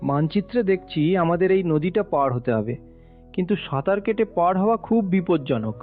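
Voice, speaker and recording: Man doing an eager adventurous cartoon boy, high voice, tinny phone-like sound. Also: animated, moderate pace, soft background music. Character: bold eager young village boy.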